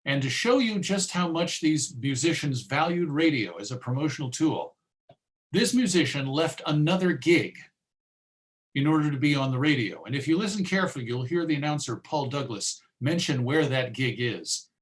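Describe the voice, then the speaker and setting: A man's voice: fairly high pitch, expressive, normal speed. Chatty American man giving a talk. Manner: chatty, wry asides. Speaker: presenter. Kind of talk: conversational history lecture with clips.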